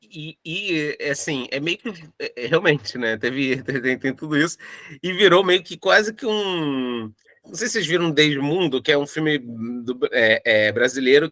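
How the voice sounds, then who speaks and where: Brazilian man, bright forceful tone, casual interview chat, rambling, repeated false starts, dry recording. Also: on the high side, no room sound. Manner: rambling, hesitant, stammering over repeated starts. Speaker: audio drama creator being interviewed.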